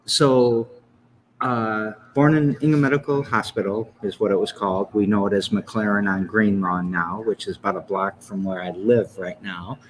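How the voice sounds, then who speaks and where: A man's voice: on the low side, fairly even intonation, normal speed, a little hiss or hum, poor audio. A man in his fifties from Michigan, hosting a casual interview and rambling through his life story with chuckles and self-corrections. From Lansing, Michigan. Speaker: podcast host.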